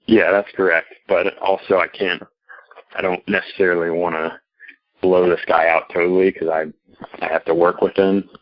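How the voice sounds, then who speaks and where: Man, deep voice, fairly even intonation, halting caller, casual and unassertive, dull lo-fi line. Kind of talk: live call-in question, hesitant casual talk. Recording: dull, lo-fi call-in audio.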